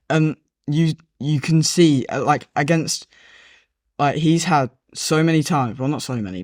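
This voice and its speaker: A man's voice: mid-range voice, dry recording, clean studio sound. A man on a casual football podcast, arguing his picks earnestly and hesitantly, with lots of "like" in his speech. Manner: earnest, hesitant, many "like" fillers. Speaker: podcast guest.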